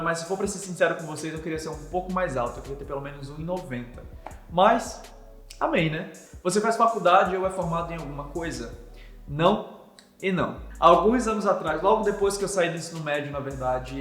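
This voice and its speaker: A young man from Manaus with a Manaus Brazilian Portuguese accent, answering viewer questions in a chatty, earnest vlog. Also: a little high. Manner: chatty, earnest, religious talk. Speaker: vlogger and missionary. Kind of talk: casual Q&A vlog. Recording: echoey room with long reverb, faint music.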